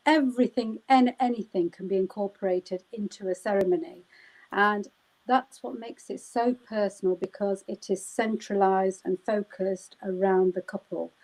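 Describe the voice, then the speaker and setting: A woman's voice: medium pitch, mellow, rough recording. A woman celebrant answering interview questions, explaining at length with frequent "um" and "you know" fillers. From United Kingdom. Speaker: wedding celebrant. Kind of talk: live chat interview.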